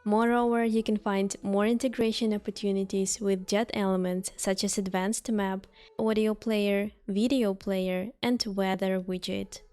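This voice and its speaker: A woman reading a scripted software walkthrough in an instructional way, setting out lists item by item. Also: medium pitch, fairly even intonation, unhurried, faint music behind. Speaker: software tutorial narrator. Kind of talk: scripted product walkthrough narration.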